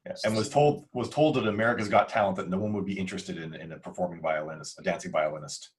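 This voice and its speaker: A man with a very low voice talks casually as part of a podcast crew. He sounds like an author chatting informally about creative work. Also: steady tone, fast talker.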